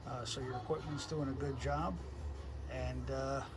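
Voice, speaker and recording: A man chatting casually as an amateur radio operator, halting and easygoing, recorded outside on a porch. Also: mid-range voice, level tone. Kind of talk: casual ham radio conversation. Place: outdoors on a house porch. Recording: noisy outdoor recording with ambience.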